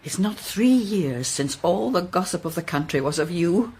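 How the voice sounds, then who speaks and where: An actress playing a stern, reluctant older Victorian mother-in-law with a husky voice and British accent, grave and formal in radio drama. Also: fairly low pitch, expressive, moderate pace, darkish tone, a little hiss or hum, rough recording. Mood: grave, pitying. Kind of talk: period radio drama acting.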